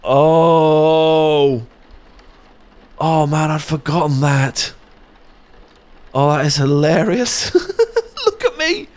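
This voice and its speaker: A British man playing an affectionate, playful young best friend in an intimate, casual ASMR audio roleplay, chatting and teasing with soft tag questions. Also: a little high, big pitch swings, drawn-out words, long pauses, full character voice. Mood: amused, nostalgic.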